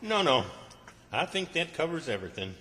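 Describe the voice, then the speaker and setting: A man's voice: on the high side, big pitch swings, moderate pace, sharp tone. A man playing a flustered, bossy rural Southern character in old-time radio comedy, folksy and exasperated. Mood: puzzled, exasperated. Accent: American South, rural. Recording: echoey hall, long reverb.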